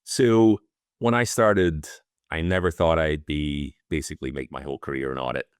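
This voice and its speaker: A man's voice: extremely low pitch, steady tone, moderate pace, clear, resonant tone, no echo, clean studio sound. A Scottish man in his fifties, an audit partner speaking as an interview guest, thoughtful and loose, with many fillers and restarts. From Scotland. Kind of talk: casual interview talk.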